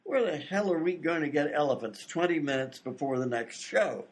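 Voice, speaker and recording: An actor playing a flustered, wisecracking vaudeville theater manager with wry banter in a radio comedy. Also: male voice, a little high, close to an everyday voice. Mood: wry, irritated. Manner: wry, fast-talking banter. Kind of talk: old-time radio comedy acting.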